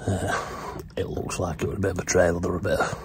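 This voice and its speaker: Deep-voiced man vlogging outdoors. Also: level tone, fast. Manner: plain-spoken, trailing off with frequent "uh". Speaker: angler vlogger. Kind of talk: casual fishing vlog to camera. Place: outdoors by a lake, and inside a fishing shelter at night. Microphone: phone held at arm's length. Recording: outdoor background noise clearly audible.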